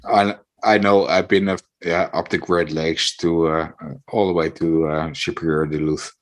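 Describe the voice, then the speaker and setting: A man from the Netherlands, chatting casually on a live stream and pausing often with fillers as he searches for words. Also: sits low, little pitch movement. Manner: hesitant, many fillers and restarts. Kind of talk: casual chat.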